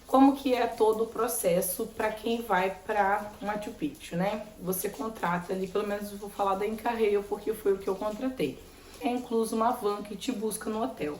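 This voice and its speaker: A Brazilian woman chats her way through a travel vlog, her voice strained by sinusitis. She explains things step by step in a relaxed way. The room echoes, with faint ambient noise behind her. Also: medium pitch. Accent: Brazilian Portuguese. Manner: chatty, explains step by step with many "né?". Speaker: travel vlogger. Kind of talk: casual travel vlog talk.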